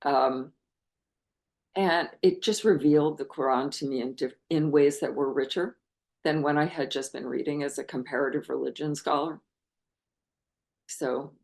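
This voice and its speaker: Low-voiced earnest American woman. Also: a little mellow. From United States. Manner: earnest and enthusiastic, musing with many pauses. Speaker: guest scholar and translator. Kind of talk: reflective interview talk.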